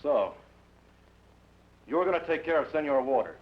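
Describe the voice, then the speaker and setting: A man's voice: on the high side, lively intonation, normal speed, close to an everyday voice. An actor playing a stern male outlaw boss, giving curt, menacing orders in an old-time radio drama. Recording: muffled, noisy old radio recording.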